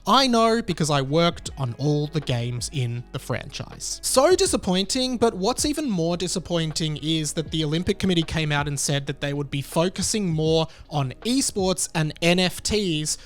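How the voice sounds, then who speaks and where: A man's voice: fairly high pitch, lively intonation, moderate pace, hardly any pauses, faint music behind. A man hosting a video, giving informal, opinionated commentary straight to his viewers. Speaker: video host.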